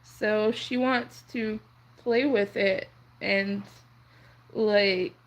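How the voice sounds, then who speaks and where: A young woman whose first language is French, chatting softly and rambling on a crochet livestream. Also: medium pitch, steady tone, extremely slow, rough recording. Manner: soft-spoken, rambling, counts under her breath. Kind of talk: casual chat while crocheting.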